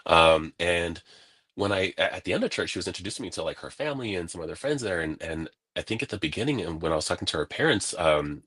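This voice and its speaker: Man from California, very low voice, lively storytelling, laughs, acts out quotes, video call. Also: quick pace, breathy edge. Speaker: guest. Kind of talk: casual interview storytelling.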